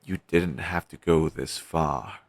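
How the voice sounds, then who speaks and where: A man playing a weary, caring undead best friend in an intimate audio roleplay, talking casually and earnestly with halting phrases. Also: low voice, no room sound. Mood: worried, gentle. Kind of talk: audio roleplay, intimate one-sided dialogue.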